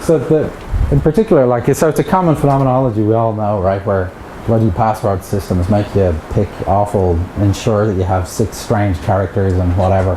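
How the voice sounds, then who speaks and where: A man's voice: on the low side, fairly even intonation, a little fast, mellow. Irish man lecturing in an echoey room. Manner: chatty, joking asides to the audience. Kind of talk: academic seminar talk. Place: seminar room with projector. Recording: echoey room with background noise.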